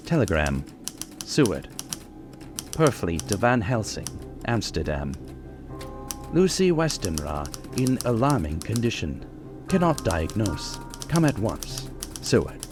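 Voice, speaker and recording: Actor as a low-voiced, earnest young doctor. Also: male voice, big pitch swings. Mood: urgent. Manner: formal, measured, dramatic. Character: earnest young English doctor narrating his journal. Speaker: narrator and character. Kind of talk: old-time radio drama narration. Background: prominent music.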